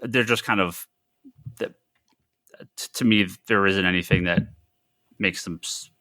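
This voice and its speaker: Bright-voiced man with a low pitch. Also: unhurried. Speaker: podcast panelist and comic shop retailer. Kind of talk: casual comic book discussion.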